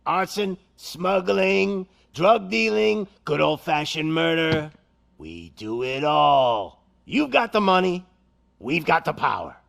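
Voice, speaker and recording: An actor playing a menacing gang leader who hijacks a broadcast, with a gravelly voice and a theatrical, taunting drawl. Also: male voice, a little high, big pitch swings, slow, fairly bright tone, full character voice. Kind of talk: video game cutscene acting.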